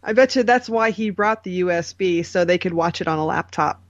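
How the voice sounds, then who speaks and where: A man's voice: sits high, a little fast, lo-fi sound. A man co-hosting a podcast, chatting casually in short reactions. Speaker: podcast co-host.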